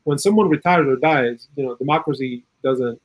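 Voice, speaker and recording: A man from South America giving earnest, opinionated political commentary in a conversational way, with a husky voice and plenty of "you know". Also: medium pitch, moderate pace, poor audio. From South America, Chile. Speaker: interview guest, political and legal commentator.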